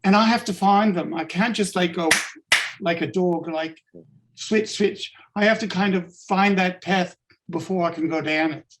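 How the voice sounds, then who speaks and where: A man from Canada who speaks with a South African accent, leading a workshop and telling a personal story haltingly, with many fillers and restarts. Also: high-pitched, slight background noise. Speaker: workshop leader. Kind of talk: personal account in group discussion.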